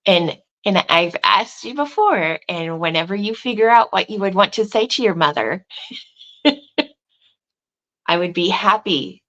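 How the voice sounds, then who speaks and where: A woman's voice: mid-range voice, animated, a little slow, long pauses, muffled recording. A woman who protests and livestreams, telling a rambling story in casual chat on a video call. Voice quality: loud voice.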